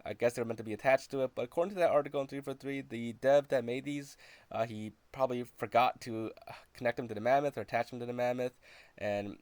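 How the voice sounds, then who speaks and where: A man's voice: mid-range voice, steady tone, fast, dry recording. A man narrating a video game walkthrough in a casual, conversational, explanatory way.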